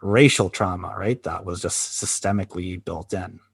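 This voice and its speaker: A man co-hosting a podcast, talking candidly in a halting, reflective way with many pauses and restarts. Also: low voice, breathy edge, no room sound. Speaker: podcast co-host. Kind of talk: candid conversational chat.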